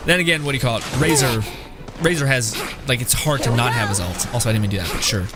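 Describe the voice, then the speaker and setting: A man's voice: mid-range voice, quick pace, over loud music. A man giving casual, rambling gameplay commentary as a streamer, swearing freely and mocking as he goes. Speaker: video game streamer.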